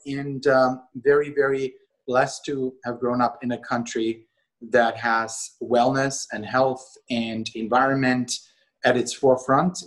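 Austrian guy, middle-aged, husky voice, measured, lots of ums. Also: medium pitch, fairly bright tone, low-quality recording. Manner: measured, many fillers. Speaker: guest, company co-CEO. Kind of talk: interview conversation.

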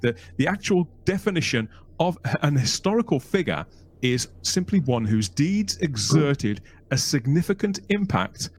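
Chatty Birmingham man hosting a podcast. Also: mid-range voice. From England. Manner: playful, chatty, self-mocking. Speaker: podcast host. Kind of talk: scripted podcast intro.

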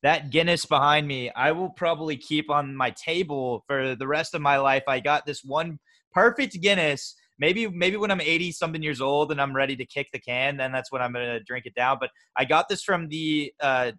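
Male co-host, bright forceful tone, slightly higher voice, loose rambling chat. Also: normal speed. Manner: chatty, rambling, many fillers and restarts. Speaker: podcast co-host. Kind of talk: casual sports podcast chat.

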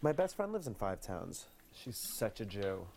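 A man's voice: sing-song, normal speed, creaky, over a noisy crowd. A man doing a whiny Long Island girl. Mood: exasperated. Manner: whiny, exasperated, shrill outbursts. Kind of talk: comedy sketch clip.

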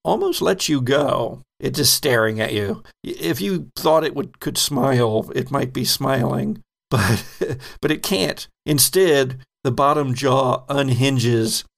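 A man's voice: medium pitch, slow, vocal fry, few pauses. A man narrating as game master of a horror roleplaying game, conversational and descriptive as he improvises.

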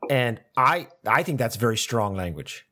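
A man talks through an idea in a relaxed academic conversation, circling back and repeating words as he works it out. His pitch swings widely from phrase to phrase, giving his speech an animated, searching lilt. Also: moderate pace. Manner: thoughtful, repeats words while thinking aloud.

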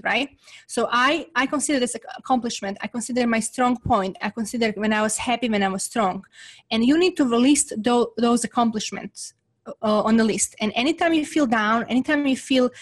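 Woman, Serbian accent, slightly high pitch; earnest interview guest who keeps checking in with "right?". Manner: earnest, repeats "right?" often. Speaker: guest entrepreneur. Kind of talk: motivational interview talk.

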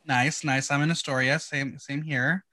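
A man's voice: medium pitch, steady tone, normal speed, bright, forceful tone. A man hosting a podcast, chatting in an upbeat, casual way with small restarts. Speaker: podcast host. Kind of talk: casual interview. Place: home in New York.

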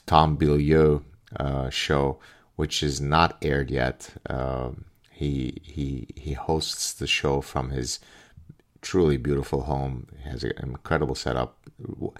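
A middle-aged man speaking casually to his viewers in a reflective monologue, pausing and repeating words for emphasis. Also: rumbling low. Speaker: podcast host and author.

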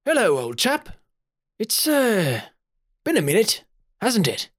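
A woman's voice: medium pitch, wildly swooping pitch, slow pace, husky, heavily acted. A woman voicing a stout, jovial, scheming old male crook who rambles and wheedles. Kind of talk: tabletop roleplay.

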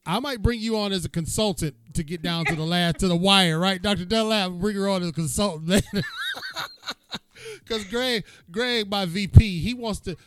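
High-voiced, folksy male host. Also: normal speed, clean tone. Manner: folksy and joking. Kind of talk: casual interview chat.

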